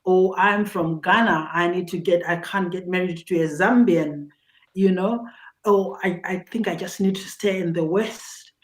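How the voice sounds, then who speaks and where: A woman's voice: fairly low pitch, a little slow. A middle-aged woman with a Zimbabwean accent, giving earnest personal advice as a talk show guest, often repeating words as she searches for phrasing.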